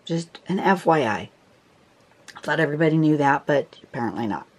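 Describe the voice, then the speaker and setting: Woman host, hoarse with a cold, casual rambling tutorial delivery, recorded indoors at a table. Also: low voice, expressive, brisk. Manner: chatty, rambling, many fillers and throat clearing. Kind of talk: casual instructional talk. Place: indoors at a table, filming a phone screen.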